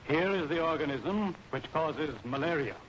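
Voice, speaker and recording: A man's voice: on the high side, expressive. A man with an American accent lecturing in a formal, authoritative way as an army medical officer in a 1940s training film. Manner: formal, authoritative, deliberate instruction. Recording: dull, noisy 1940s film soundtrack.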